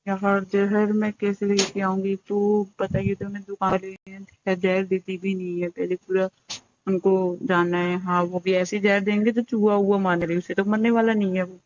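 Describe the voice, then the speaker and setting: A woman's voice: mid-range voice, little pitch movement, moderate pace, a little mellow, slight background noise. A woman talking casually in a recorded phone conversation with dull, lo-fi sound.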